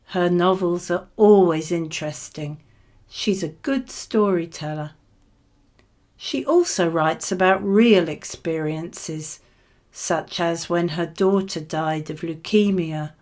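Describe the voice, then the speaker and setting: A woman reading scripted model answers for a spoken exam, with measured, clearly phrased delivery. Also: lowish voice, a little mellow.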